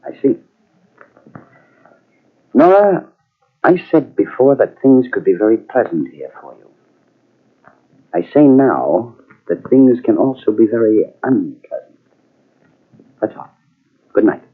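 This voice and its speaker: A scheming, threatening husband in a vintage radio mystery. His delivery is insinuating and swings widely in pitch. The voice is a little rough and husky, heard through a narrow, tinny old recording. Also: sing-song, full character voice. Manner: smooth, insinuating, quick to turn threatening. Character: scheming, menacing husband and would-be playwright, out for money. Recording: tinny, band-limited old radio cassette transfer.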